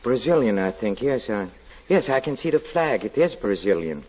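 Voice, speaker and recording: An actor playing a sensitive, nervous French gentleman in a 1940s radio drama, speaking haltingly and repeating his words as if writing a diary. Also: mid-range voice, sing-song, low-quality recording. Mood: dreamy, fond. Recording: vintage 1940s radio broadcast with music.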